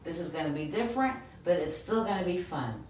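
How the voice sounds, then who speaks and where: A woman's voice: on the low side, lively intonation, brisk, a little mellow. A middle-aged woman, a preschool teacher, giving encouraging instructions through a face mask in a role-play with a child. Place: small school entry room, indoors. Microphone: distant camera microphone. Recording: very echoey room, distant, faint noise.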